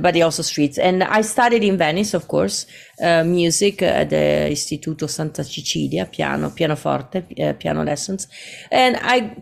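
A woman from Italy with an Italian accent, a singer-songwriter talking as a guest in a casual, animated interview. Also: on the low side, vocal fry. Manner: animated, rambling, laughs easily.

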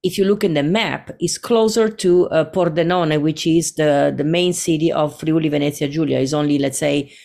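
A woman from Italy with an Italian accent, a singer-songwriter talking as a guest in a casual, animated interview. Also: deep voice, moderate pace, vocal fry, few pauses. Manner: animated, rambling, laughs easily.

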